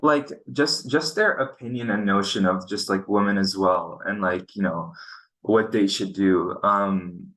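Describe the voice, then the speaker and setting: A man's voice: fairly low pitch, unhurried, sharp tone, rough recording. A young man from Pakistan hosting a casual podcast chat, earnest and frustrated, often restarting his sentences. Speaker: podcast host. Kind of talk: casual conversation.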